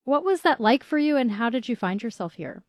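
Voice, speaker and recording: A woman's voice: a little high, expressive, fairly quick, no room sound, studio quality. A woman hosting a radio interview, asking curious, probing questions. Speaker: radio show host.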